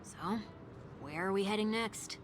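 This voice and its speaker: An actress playing a hushed, curious female companion in a video game, with noise behind her. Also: medium pitch, unhurried, natural voice. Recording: clear background noise and ambience.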